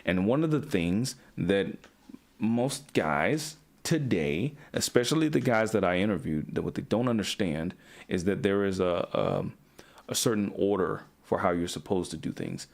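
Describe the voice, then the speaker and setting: A man with a fairly low voice talks straight to the camera as a coach for young men. He is blunt and preachy, and he swears freely as he lectures. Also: very animated, normal speed, gravelly. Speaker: men's coach. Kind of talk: direct-to-camera motivational talk.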